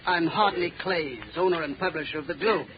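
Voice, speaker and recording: An American man playing a smooth, pompous newspaper publisher with villainous leanings in a 1930s radio drama, formal and blustering in manner. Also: a little high, brisk, over a noisy crowd, natural voice. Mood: smooth, self-important. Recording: muffled, noisy 1930s radio recording.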